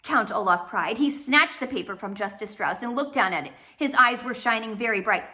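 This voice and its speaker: A woman reads a children's story aloud as its narrator. Her delivery is expressive and scripted. The voice sits a little high and is bright and forceful. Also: moderate pace. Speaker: audiobook narrator.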